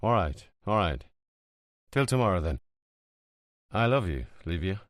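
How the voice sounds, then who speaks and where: A man's voice: low voice, very animated, a little slow, no echo, clean studio sound. A man voicing a tense, evasive police inspector in an audiobook, speaking curtly.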